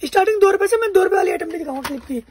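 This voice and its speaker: A young man with a high voice runs through his stock at speed, stressing each price and repeating that he sells only in bulk. He is recorded on a handheld phone inside a crowded market shop. Steady chatter and bustle sit clearly behind him. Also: rapid-fire, background noise. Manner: emphatic, repeats prices and wholesale-only terms. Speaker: wholesale shopkeeper. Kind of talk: rapid sales pitch listing prices.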